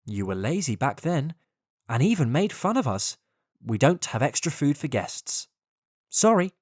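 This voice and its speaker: A storyteller plays a sensible, hardworking male ant from a bedtime fable. The delivery is earnest and matter-of-fact, with animated rises and falls in a close, dry sound. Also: mid-range voice, unhurried, husky, dry recording. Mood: firm, reproachful.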